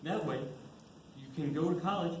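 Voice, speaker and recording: A man's voice: on the high side, normal speed, darkish tone, close to an everyday voice. A young man in a school play acting a brash, mocking teenage friend. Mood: incredulous. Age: young adult. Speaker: student actor in a one-act school play. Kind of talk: amateur stage acting. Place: indoor stage, recorded from the audience. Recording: echoey stage recording with faint noise.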